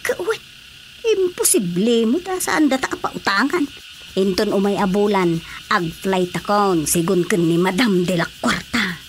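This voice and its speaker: A woman's voice: medium pitch, lively intonation, measured pace, slight background noise. A woman playing an eager, deferential poor village wife in a radio drama comedy. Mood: pleading, hopeful.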